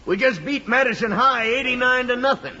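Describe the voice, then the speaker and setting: An actor playing an obliging, boastful bus driver in an old radio comedy. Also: male voice, very high-pitched, a little fast, heavy background noise. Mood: obliging, proud. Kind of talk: 1950s radio comedy acting. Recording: muffled, reverberant old radio recording.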